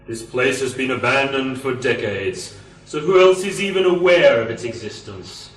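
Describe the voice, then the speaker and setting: A man playing a calm, secretive cult leader in an amateur sci-fi radio play, speaking in a solemn, measured way. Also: mid-range voice, reverberant, far from the mic, close to an everyday voice, rough recording. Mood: quietly assured. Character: calm, secretive male cult leader guarding sacred chamber.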